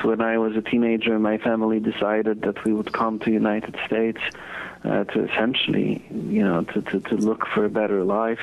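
A man in his thirties from Poland with a Polish accent, answering interview questions slowly and thoughtfully with many pauses and fillers. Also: lowish voice, vocal fry. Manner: measured, halting, many fillers. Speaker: guest, foundation co-founder. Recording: dull lo-fi audio.

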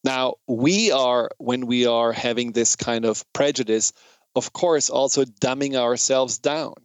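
A man with a German accent gives an informal educational talk in a smooth, clear voice of medium pitch. He explains things earnestly and reflectively, and the sound is dry and close. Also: steady tone, unhurried, no echo.

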